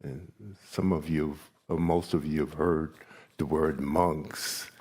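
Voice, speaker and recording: Older man in his 70s, Gullah native speaker, very deep, husky, slow halting delivery, meditation hall talk. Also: flat delivery, a little mellow. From South Carolina coast, United States. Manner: slow, halting, with long pauses. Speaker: meditation teacher. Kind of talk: retreat teaching talk.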